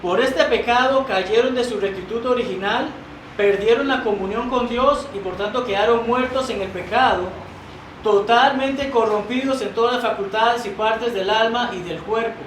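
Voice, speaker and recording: A man's voice: very high-pitched, moderate pace, fairly bright tone. A man preaching a sermon in a church, earnest and emphatic, reading quoted doctrine aloud and stumbling into restarts now and then. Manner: earnest, rising to emphatic repetition. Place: church sanctuary. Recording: roomy church sound, mic at a distance, noisy.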